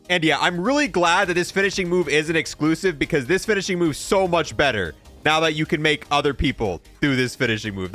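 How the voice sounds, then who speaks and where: A man's voice: fairly high pitch, a bit bright. A young adult man giving casual, slangy commentary as he reviews video game content. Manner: informal, slangy. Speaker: gaming video creator.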